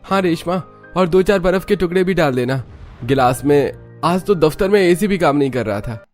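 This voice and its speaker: A man voicing an irritable young husband in a cartoon story, loud and scolding. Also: a little high, animated, normal speed, faint music behind, lightly acted. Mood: weary. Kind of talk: Hindi cartoon story voice acting.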